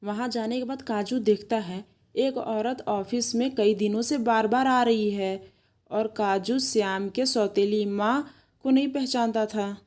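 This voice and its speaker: A woman with a fairly high voice serves as narrator. She delivers scripted storytelling narration for an animated moral tale. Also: measured pace.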